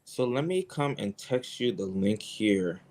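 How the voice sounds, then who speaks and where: A young man speaks as a political candidate in a casual interview. His voice sits fairly low. He stays relaxed but hesitant and breaks into a nervous laugh now and then. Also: unhurried. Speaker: congressional candidate.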